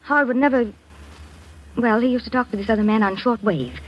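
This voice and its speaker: A woman's voice: a little high, a little fast, slight background noise, lightly acted. A woman playing an earnest, worried young woman who falters as she speaks, in 1940s radio drama style. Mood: troubled, insistent. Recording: muffled 1940s radio recording.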